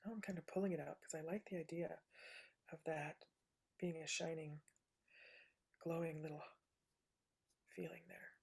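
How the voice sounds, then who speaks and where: A woman with a soothing, low voice gently walking through a live painting demonstration, often checking in with "right?". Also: fairly even intonation, normal speed, pauses often, husky. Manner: soft-spoken, gentle, says "right?" often. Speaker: painting instructor.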